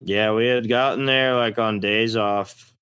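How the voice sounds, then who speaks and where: A man's voice: lowish voice, fairly even intonation, a little slow, fairly bright tone, clear, resonant tone, no room sound. A man with a Texas accent chatting casually in an interview as a country rock singer, folksy, plain-spoken and a little profane.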